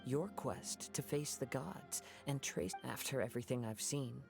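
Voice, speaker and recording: A man voicing a gentle young wandering samurai in a video game, calm, reflective and soft-spoken, with measured politeness. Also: medium pitch, creaky. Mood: somber, reflective. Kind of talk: scripted video game acting. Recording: music behind the voice.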